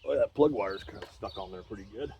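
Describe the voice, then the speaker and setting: A man's voice: fairly low pitch, fast talker, dark tone, dull audio, slight background noise. A man chatting in a rambling, folksy way while he works on an old engine, sounding congested. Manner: rambling, folksy, self-deprecating. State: congested, clearing throat. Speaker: small engine repair vlogger. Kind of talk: casual talk while working.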